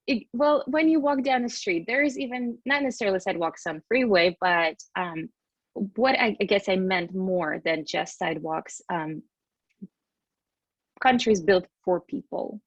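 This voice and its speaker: A woman's voice: medium pitch, a little slow, no room sound, highs cut off. A woman in her thirties with a Russian accent, telling her story casually and expressively as a podcast guest, in a chatty way. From Western Siberia, Russia.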